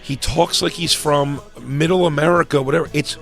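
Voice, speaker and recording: A man co-hosting a podcast in casual, rambling chat, swearing often and getting loud when animated. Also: on the high side, normal speed, vocal fry, faint music behind. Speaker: podcast co-host.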